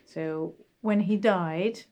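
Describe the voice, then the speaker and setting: Halting Austrian-born woman shoemaker. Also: fairly low pitch, a little mellow. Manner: halting, searching for words, many fillers. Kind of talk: interview answers.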